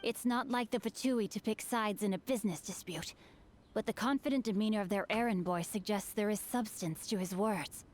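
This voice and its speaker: A woman's voice: mid-range voice, a little fast, slight background noise, close to an everyday voice. A woman voicing an earnest, bookish young male swordsman who speaks in formal, old-fashioned phrases and quotes proverbs. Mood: calm. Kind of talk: video game character dialogue.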